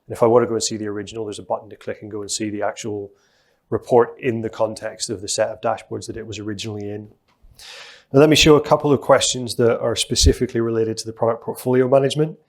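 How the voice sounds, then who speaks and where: A middle-aged man on a conference stage, explaining a live software demo in a steady, explanatory way with frequent fillers. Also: lowish voice, quick pace. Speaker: presenter giving a software demo.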